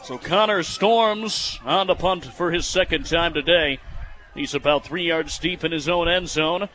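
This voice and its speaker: A young American man in his twenties doing live football radio play-by-play, excitable and quick to raise his voice on plays. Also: a little high. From United States, Michigan. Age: 20s.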